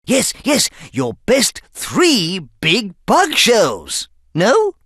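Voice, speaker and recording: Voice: medium pitch, wildly swooping pitch, very slow, slightly rough, dry recording, clean studio sound, exaggerated voice. An actor voicing a friendly, chatty cartoon bug who hosts a children's show, exclaiming, making puns and breaking into song. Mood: upbeat. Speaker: show host. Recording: loud music behind the voice.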